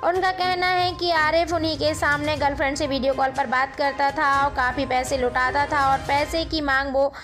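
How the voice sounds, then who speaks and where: Female online news presenter, high voice, informal direct-to-viewer narration, light music bed underneath. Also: level tone, normal speed, few pauses.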